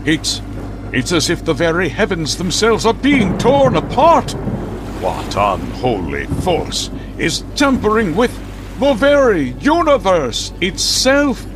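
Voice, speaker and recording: An actor playing a pompous, theatrical vigilante superhero in old radio serial style, declaiming grandly and easily outraged. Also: male voice, sits high, huge pitch swings, takes it slowly, background noise, full character voice. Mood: awestruck. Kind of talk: 1940s radio serial acting.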